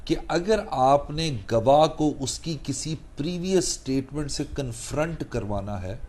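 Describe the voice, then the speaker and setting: A man's voice: on the high side, lively intonation, unhurried, a little mellow. A man with a Pakistani accent explaining law in a didactic, conversational lecture, mixing in English legal terms. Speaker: lawyer and legal trainer, the guest lecturer.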